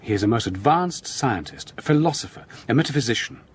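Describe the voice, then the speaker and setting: An actor playing a caring young Victorian gentleman doctor in an audio drama, speaking formally and earnestly. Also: medium pitch, expressive, quick pace, slight background noise, lightly acted. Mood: earnest, reassuring. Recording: faint background ambience.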